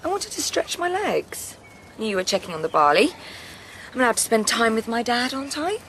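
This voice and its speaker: An actress playing an eager, confident young farmer's daughter in a radio drama, breezy and persuasive. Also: female voice, on the high side, very animated, a little fast, fairly bright tone, slight background noise. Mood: breezy, defensive. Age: young adult. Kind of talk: naturalistic radio drama acting.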